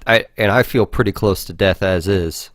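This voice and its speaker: A man's voice: low-pitched, normal speed, dry recording, clean studio sound. A man co-hosting a podcast in casual chat, rambling and hesitant, with a self-deprecating manner. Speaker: podcast co-host.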